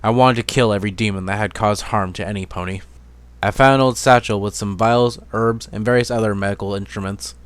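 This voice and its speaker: Low, smooth male amateur story narrator. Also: steady tone, brisk, no room sound. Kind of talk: dramatic reading of fantasy fan fiction.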